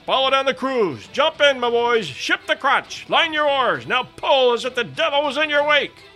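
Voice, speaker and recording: A man voicing a stern, gruff whaling captain who shouts scolding orders in a dramatised storybook reading. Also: extremely high pitch, very animated, normal speed, faint music behind, voice pushed up, heavily acted. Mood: urgent commanding.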